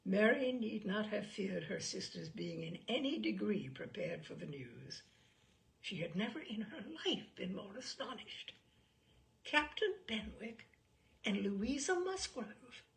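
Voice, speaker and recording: Woman reading an old novel aloud, lots of pitch movement, some fry in the voice. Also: very animated, a little slow. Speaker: audiobook narrator. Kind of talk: expressive literary narration.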